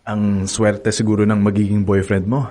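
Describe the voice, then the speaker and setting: A man's voice: fairly low pitch, completely flat delivery, moderate pace, mellow, close to an everyday voice. A man playing a cocky young campus heartthrob. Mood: playful. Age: young adult. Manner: cocky, teasing, playful. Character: smug handsome young college heartthrob. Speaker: narrator and lead character. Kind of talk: radio drama acting.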